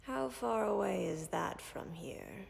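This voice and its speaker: A woman voicing a calm, ancient female dragon who tends a wounded human, speaking in a whispery, gravelly, measured and formal way in an audio roleplay. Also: medium pitch, big-room sound, heavily acted. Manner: measured, formal, dryly commanding.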